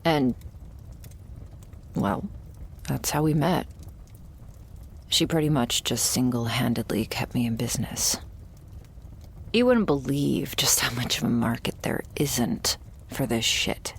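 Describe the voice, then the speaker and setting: A woman playing a jaded, wry, terminally ill shopkeeper who serves a vampire, speaking in an intimate, deadpan ASMR roleplay monologue. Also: a little hiss or hum, full character voice. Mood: dry, resigned. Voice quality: low, husky, gravelly. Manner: deadpan, sardonic, slow and pausing, swearing casually.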